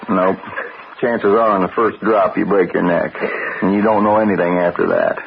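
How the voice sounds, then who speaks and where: An actor playing a watchful, folksy ranch stable hand with an American Western accent and a hesitant drawl, in old-time radio drama style. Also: male voice, sits low, fairly even intonation, moderate pace, gravelly. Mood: probing, grim. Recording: noisy, dull old radio broadcast.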